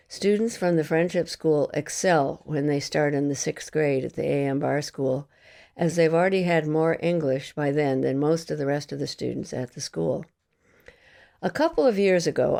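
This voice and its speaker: A woman's voice: low voice, vocal fry, warm tone. A woman reading a scripted narration aloud as a reader at a service.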